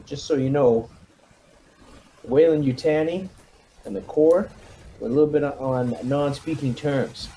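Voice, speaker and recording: Mellow-voiced man giving a military briefing. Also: on the high side, slight background noise, low-quality recording. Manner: military jargon and brisk command phrasing. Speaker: player leading a military squad in a tabletop roleplaying game. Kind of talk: mission briefing in an online tabletop roleplaying game.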